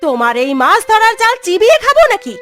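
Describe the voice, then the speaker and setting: A woman playing a sharp-tongued, nagging, angry wife of a poor fisherman in a cartoon, scolding and bossy. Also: extremely high pitch, very animated, fast, heavily acted. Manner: scolding, commanding. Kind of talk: cartoon dialogue. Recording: music behind the voice.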